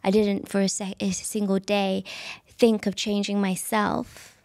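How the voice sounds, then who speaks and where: A woman's voice: medium pitch, a little slow, no room sound, clean studio sound. A woman, telling stories in a casual interview with lots of fillers. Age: 30s. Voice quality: soft voice. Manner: animated storyteller, frequent fillers and laughs. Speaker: guest.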